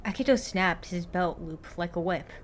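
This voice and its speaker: A woman narrates a piece of fiction in a slightly low voice. She reads from a script in the manner of an audiobook storyteller. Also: normal speed, slight background noise. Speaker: narrator of a fan fiction podfic.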